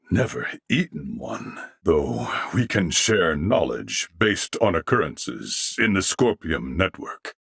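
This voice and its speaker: Deep, husky, courteous mechanical being. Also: male voice, fairly bright tone, no room sound, clean studio sound, full character voice. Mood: curious, earnest. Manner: formal, measured, politely precise. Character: courteous, earnest adult male mechanical alien life form. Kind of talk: video game character dialogue.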